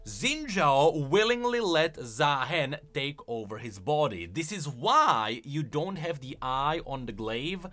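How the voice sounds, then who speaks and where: A man's voice: on the high side, sing-song, slow, few pauses, pushed and bright. A man hosting a gaming lore video, giving casual, conversational commentary with plenty of emphasis.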